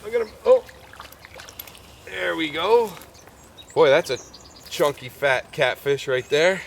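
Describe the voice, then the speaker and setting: A man's voice: on the high side, expressive, takes it slowly, vocal fry, a little hiss or hum. A man in his fifties with a slightly stuffy cold, hosting a fishing show outdoors on a creek bank, chatty and folksy with plenty of "uh"s. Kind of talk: casual outdoor how-to talk to camera.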